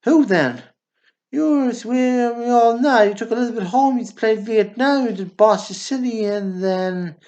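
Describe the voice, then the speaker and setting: An actor playing a hot-headed, self-pitying Texan brother in a radio drama, with dramatic, folksy outbursts. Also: male voice, very high voice, measured pace, darkish tone. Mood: anguished, accusing. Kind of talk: amateur radio drama acting. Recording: dull, lo-fi audio.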